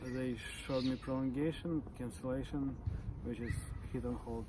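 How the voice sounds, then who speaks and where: A man in his forties with a Russian accent talks through his stutter, blocking on sounds and repeating them in casual conversation. His voice sits at an ordinary middle pitch. The recording is noisy, taken outdoors with birds calling behind him. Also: steady tone, normal speed. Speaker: interview guest.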